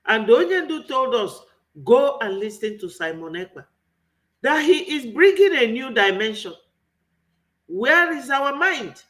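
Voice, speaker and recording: A man's voice: very high voice, very animated, measured pace, bright, forceful tone. A man with a Nigerian accent giving an impassioned political commentary, full of rhetorical questions. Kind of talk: online political commentary monologue.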